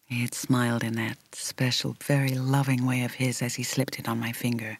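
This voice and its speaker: A woman reading an audiobook in the role of a reflective woman in her thirties recalling her romance, in a measured, intimate, expressive way. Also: extremely low pitch, slightly rough, no echo. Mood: fond, reflective. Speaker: audiobook reader. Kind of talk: scripted first-person narration.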